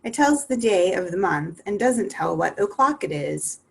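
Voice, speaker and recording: A woman's voice: mid-range voice, very animated, moderate pace, gravelly, breathy edge, exaggerated voice. A woman voicing a polite but indignant young girl in a storybook reading, prim and earnest.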